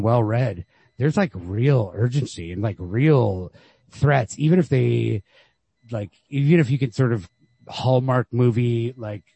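A man with a dark, husky voice talks casually about literature as a podcast co-host. He works out his thoughts aloud, circling and qualifying as he goes. The sound is dry and close, without any room around it. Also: mid-range voice, no room sound.

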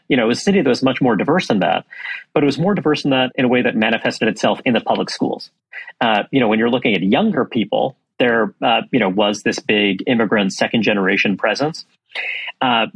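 A man's voice: mid-range voice, breathy edge, no room sound, poor audio. A man from Brooklyn, New York, heading a think tank, talking in an interview with many fillers and restarts. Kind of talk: conversational interview.

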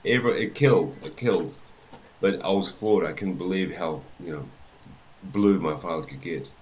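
A man with a New Zealand accent, a rugby coach telling his life story as an interview guest, in an unhurried way with many pauses. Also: deep voice, darkish tone, a little hiss or hum, rough recording.

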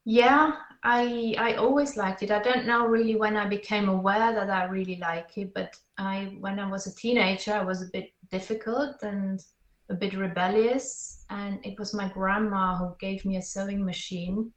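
A woman's voice: mid-range voice, steady tone, normal speed. Thoughtful Swiss woman in an echoey room. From Switzerland. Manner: thoughtful, hesitant, with frequent restarts. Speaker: podcast guest. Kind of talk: interview conversation.

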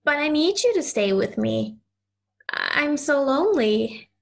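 A woman's voice: high-pitched, very animated, unhurried, creaky, background noise, heavily acted. A woman playing a lonely, stubborn ten-year-old girl in an audio play, speaking in an earnest, insistent, childlike way. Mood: sulky, lonely.

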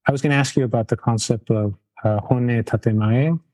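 Man, interview host, rambling, restarts, mellow dark tone, middle pitch. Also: brisk. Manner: rambling, many restarts and trailing phrases. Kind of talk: casual conversational interview.

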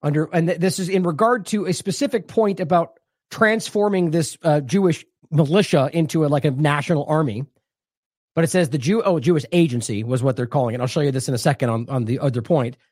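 Higher-voiced male news commentator. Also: fairly quick, a little mellow, dry recording, studio quality. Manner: discursive, self-correcting, reads quotes aloud. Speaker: independent news show host. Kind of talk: commentary over played clips.

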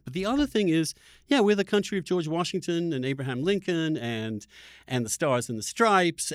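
Halting man in a dry, close recording. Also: medium pitch, no room sound. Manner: halting, repeats words while arguing a point. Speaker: podcast host. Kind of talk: conversational interview discussion.

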